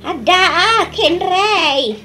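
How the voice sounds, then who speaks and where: A woman's voice: very high voice, expressive, slow pace, pushed and bright, exaggerated voice. A woman voicing a chatty older female neighbor in a radio drama, exclaiming and teasing.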